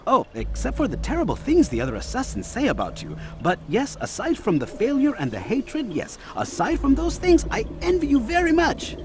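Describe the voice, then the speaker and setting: An actor playing a playful, mocking informant in a video game, theatrical and drawn-out in his delivery. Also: very high-pitched, wildly swooping pitch, a little mellow, exaggerated voice. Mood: gleeful mockery. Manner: theatrical, drawn-out, gleefully sarcastic. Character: playful, teasing bureau informant, effusive and mocking.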